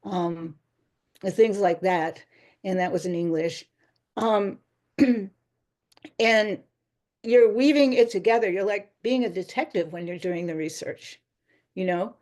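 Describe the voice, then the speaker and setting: A woman author answering interview questions in a chatty, rambling way, often pausing and laughing lightly. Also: mid-range voice, a little mellow.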